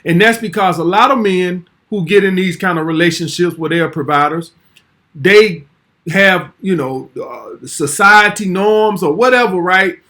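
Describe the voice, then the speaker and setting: A man's voice: sits high, unhurried, sharp tone. A man in his forties hosting a casual commentary vlog, talking bluntly and brashly and swearing freely. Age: 40s.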